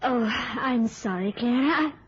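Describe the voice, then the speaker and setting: An actress playing a dreamy, childlike middle-aged spinster in an old radio drama, hesitant, gentle and apologetic. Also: female voice, fairly high pitch, takes it slowly. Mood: timid, wistful. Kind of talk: mid-century radio drama acting. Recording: muffled old radio, noisy background.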